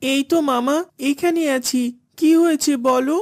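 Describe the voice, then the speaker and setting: A woman's voice: high voice, fairly even intonation, moderate pace, a little mellow. A woman voicing a meek, earnest young boy in a children's cartoon, with a plaintive, innocent manner. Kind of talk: Islamic children's cartoon dubbing.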